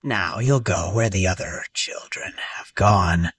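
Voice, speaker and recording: Man playing a husky, menacing henchman. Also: low-pitched, a bit bright, recorded from a distance, exaggerated voice. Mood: gloating menace. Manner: slow, taunting. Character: menacing, gloating male henchman with sinister smile. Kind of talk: animated moral story acting.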